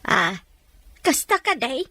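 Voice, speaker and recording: A woman's voice: sits high, big pitch swings, takes it slowly, pushed and bright, no echo, heavily acted. A woman playing a caring, outspoken older mother in a radio drama. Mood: affectionate.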